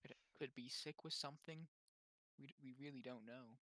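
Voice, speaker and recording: A man's voice: mid-range voice, normal speed, pushed and bright, no echo. A man playing a tabletop roleplaying game, tentatively reasoning aloud in casual discussion.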